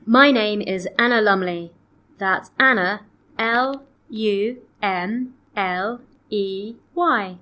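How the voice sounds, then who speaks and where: A woman playing a polite customer on a complaint call, reading scripted dialogue in a measured, clearly enunciated way. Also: medium pitch, pushed and bright. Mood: polite, matter-of-fact. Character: polite woman customer phoning to report missing, damaged antiques. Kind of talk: scripted listening-test dialogue.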